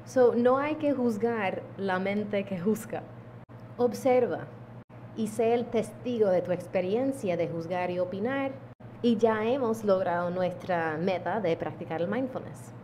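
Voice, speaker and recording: A woman, calmly teaching a mindfulness lesson to camera, with deliberate pauses. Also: medium pitch, slight background noise. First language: English. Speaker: yoga teacher. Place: indoor room at home. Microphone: small handheld lavalier mic held close.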